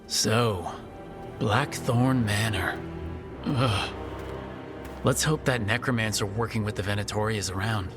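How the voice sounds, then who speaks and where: An actor playing a steady, wry young adventurer leading a group in a video game, direct and plain-spoken. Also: male voice, lowish voice, unhurried, long pauses, music in the background, close to an everyday voice.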